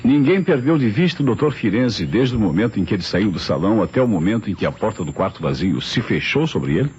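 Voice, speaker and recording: Brisk, probing police inspector. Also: male voice, on the low side, very animated, a little mellow. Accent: Brazilian. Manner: interrogating, formal, insistent. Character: probing, unhurried-to-judge male police inspector. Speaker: actor in a radio mystery serial. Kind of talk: old Brazilian radio drama acting. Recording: muffled, noisy old radio recording.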